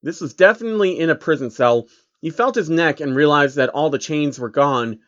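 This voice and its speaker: A man's voice: a little high, a little fast, a bit bright. Casual profane young man reading a fanfic. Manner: casual, profane, self-correcting. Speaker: narrator reading fan fiction. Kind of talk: comedic story reading with asides. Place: home room. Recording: dull lo-fi home recording.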